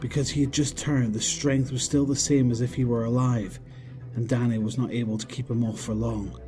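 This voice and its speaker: A man's voice: medium pitch, steady tone, a little fast, mellow, soft background music. A man reading scripted narration for a horror audio drama.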